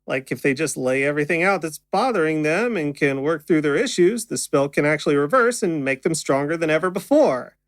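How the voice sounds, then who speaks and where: A man's voice: a little high, expressive, fairly quick, hardly any pauses, no room sound, lightly acted. A man playing a chatty, unflappable stage magician in an audio drama, with wry conversational patter. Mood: wry, upbeat.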